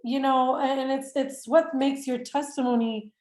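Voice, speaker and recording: A woman hosting an interview, gushing and effusive, with halting fillers. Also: high voice, steady tone, a little mellow, echoey room. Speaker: interview host. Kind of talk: enthusiastic interview chat.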